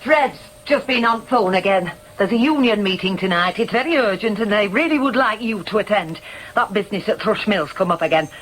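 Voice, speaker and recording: An actress playing a fed-up working-class wife with a Northern English accent in a radio drama, forceful and reproachful. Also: female voice, medium pitch, brisk, reverberant, a little hiss or hum, lightly acted, low-quality recording. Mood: exasperated.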